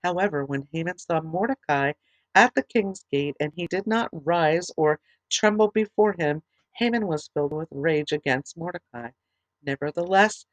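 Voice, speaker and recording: A middle-aged woman teaching a Bible study, reading scripture aloud in a plain, steady way, her voice tired and fading late at night. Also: deep voice, no echo, low-quality recording. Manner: plain, steady reading with small halting restarts.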